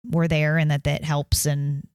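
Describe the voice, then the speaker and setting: Candid, chatty woman guest, slightly low voice, dry recording. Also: little pitch movement, a little slow, darkish tone, clear, resonant tone, no room sound. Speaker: guest, band mom of a senior. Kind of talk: casual podcast chat.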